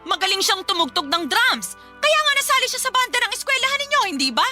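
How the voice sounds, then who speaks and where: A woman playing a harsh, belittling, nagging Filipino mother in a radio drama, with a sharp-tongued, scolding manner. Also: very high voice, sing-song, fast, soft background music, full character voice. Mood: scornful, scolding.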